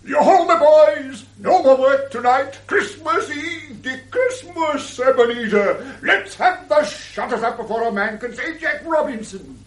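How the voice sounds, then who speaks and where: Distant and echoey, jolly old Englishman, very high hallooing voice, exuberant, 1960s radio drama. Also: expressive, measured pace, few pauses. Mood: jolly, excited. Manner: exuberant, calling out. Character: jolly, hearty old merchant master.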